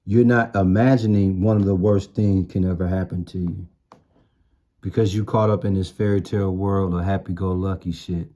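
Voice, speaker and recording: A man's voice: low voice, fairly even intonation, normal speed, warm tone, dull audio. A man giving an informal sermon in blunt, streetwise slang, repeating phrases for emphasis. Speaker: street-style preacher.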